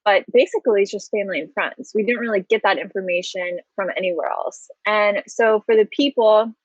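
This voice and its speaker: A woman hosting an interview show, talking casually with frequent excited exclamations. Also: medium pitch, a little fast, no room sound, highs cut off. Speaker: host.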